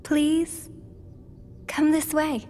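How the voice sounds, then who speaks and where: A solemn, gentle young girl from a video game. Her voice is high and she speaks slowly and earnestly, with a long hall reverb around it. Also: monotone, faint music behind. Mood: gentle, reassuring. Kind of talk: dubbed video game cutscene acting. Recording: long hall reverb, faint background noise.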